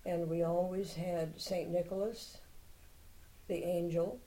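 An older woman, a native Czech speaker, talks slowly and at length, reminiscing as she goes. Her voice sits a little low and sounds warm and mellow. She says what she thinks without fuss. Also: fairly even intonation, low-quality recording. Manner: unhurried reminiscing, plainspoken opinions. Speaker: interviewee, Czech heritage club member. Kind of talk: oral history interview.

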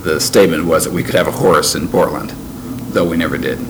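An older man who grew up in Canada, recalling his past in an interview in a measured, reflective way with frequent pauses. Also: a bass voice, steady tone. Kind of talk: oral history interview. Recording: noisy background ambience.

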